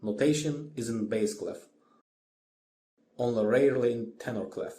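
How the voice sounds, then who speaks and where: A man giving a scripted music lesson as a lecturer, in a measured, didactic manner. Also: medium pitch, dark tone. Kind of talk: scripted instructional narration.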